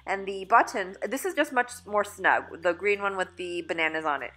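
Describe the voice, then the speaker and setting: A woman's voice: mid-range voice, moderate pace, bright, forceful tone. A woman in her thirties chatting through a clothing try-on haul in a store dressing room, upbeat and casual with fillers and self-corrections. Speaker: fashion vlogger. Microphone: phone camera held in mirror.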